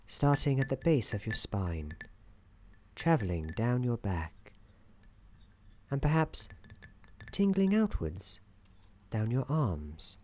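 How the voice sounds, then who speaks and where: A man playing a soothing, wry narrator in an office worker's head, soft-spoken, with measured theatrical delivery for an ASMR storytelling roleplay. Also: medium pitch. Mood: soothing, teasing.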